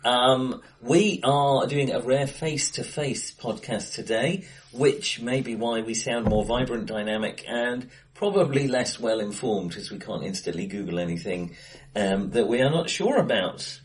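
A man's voice: medium pitch, a little slow. A man co-hosting a casual film discussion, talking face to face with someone in a live-sounding room. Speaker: podcast co-host. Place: indoor room, recorded face to face. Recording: acoustically bright live room.

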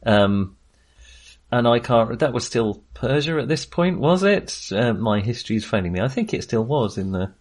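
A man hosts a casual film chat. He speaks informally and haltingly, searching for his words. His manner is self-deprecating and conversational. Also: mid-range voice, expressive, a little mellow. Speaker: film podcast host.

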